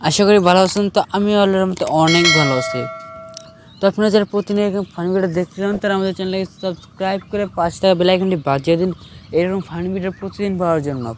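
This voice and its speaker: A man's voice: high-pitched, fairly even intonation, rapid-fire, noisy background. A young man addressing viewers directly as host of a cartoon channel. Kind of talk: scripted intro and outro.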